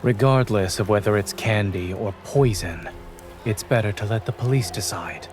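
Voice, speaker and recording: An actor playing a calm, principled male doctor in a video game, with a composed, measured and deadpan delivery. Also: lowish voice, faint music behind, close to an everyday voice. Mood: stern, controlled.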